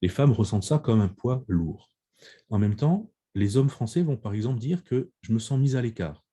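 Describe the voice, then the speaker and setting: A man giving a video-conference lecture on survey findings, speaking in measured phrases with frequent restarts and hesitations. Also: medium pitch, expressive, warm tone, breathy edge. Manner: measured, many restarts and pauses with "euh". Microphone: video call.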